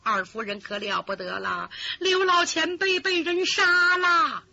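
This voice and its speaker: Woman voicing young maidservant, urgent cries, very high and bright, lo-fi. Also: lively intonation, a little slow, vocal fry, dry recording, exaggerated voice. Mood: frantic. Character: frantic young maidservant, quick and alarmed. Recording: lo-fi recording.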